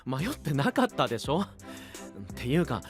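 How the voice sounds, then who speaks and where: Sceptical young knight, very animated, a man acting. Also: medium pitch, normal speed, faint music behind, close to an everyday voice. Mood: exasperated, sceptical. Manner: casual, dry questioning, exasperated retorts. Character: level-headed, sceptical young knight, quick to retort. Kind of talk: anime-style voice drama acting.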